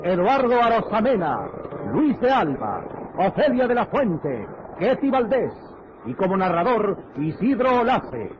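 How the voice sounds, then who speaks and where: A man's voice: sits high, very animated, measured pace, background noise. A man announcing the cast of a radio serial in a dramatic old-fashioned radio style. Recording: dull vintage radio, loud music behind.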